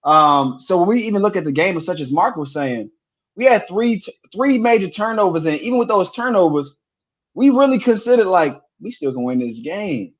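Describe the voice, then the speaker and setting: A man co-hosting a sports podcast, talking casually and telling stories with animated repeats when excited. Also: high voice, normal speed, dull audio.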